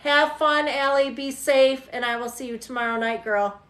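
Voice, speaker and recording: A woman's voice: high voice, fairly even intonation, moderate pace, a bit bright, echoey room. Chatty tarot-reading woman in her forties. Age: 40s. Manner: chatty, teasing, laughs often. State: tired. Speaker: tarot reader hosting a livestream. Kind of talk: casual livestream chat with viewers.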